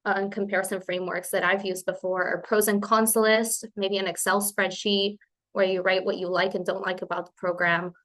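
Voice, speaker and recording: A woman's voice: mid-range voice, flat delivery, fairly quick, fairly bright tone. A woman in her twenties from Spain, born in Colombia, giving an informal webinar presentation as a PhD student. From born in Colombia, grew up in Spain. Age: 20s. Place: remote webinar.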